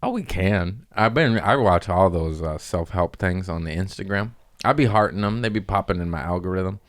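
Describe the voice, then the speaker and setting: A man with a deep American voice, riffing on a comedy podcast. He rambles in loose slang and jokes his way through each thought, casual and unpolished. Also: normal speed, no echo.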